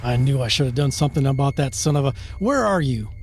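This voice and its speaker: A man's voice: mid-range voice, expressive, quick pace. A man playing a temperamental small-town sheriff and scolding father in an amateur radio play. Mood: grim. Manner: gruff, scolding, blustering.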